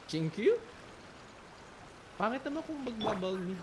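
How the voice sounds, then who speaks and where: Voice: medium pitch, very animated, normal speed, very noisy. A gamer with a Filipino accent giving casual, off-the-cuff gameplay commentary. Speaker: gaming video player. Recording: loud game music behind the voice. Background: game music.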